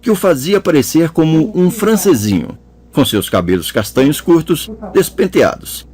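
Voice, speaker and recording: A man narrating an audiobook chapter in a measured storytelling manner. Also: fairly high pitch, animated, darkish tone. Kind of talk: scripted narration.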